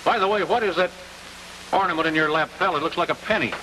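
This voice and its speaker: A man's voice: on the high side, quick pace, pushed and bright. An American man hosting a 1950s television interview, asking long questions in a folksy way. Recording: noisy, hissy 1950s television broadcast.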